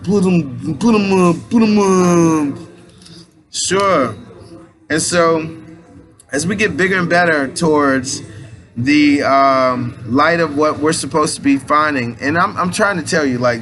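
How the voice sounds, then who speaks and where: A man's voice: on the high side, fairly bright tone. A man in his late thirties giving a rambling, casual spiritual pep talk in loose slang, restarting his sentences often. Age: 30s. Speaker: aspiring minister and motivational speaker.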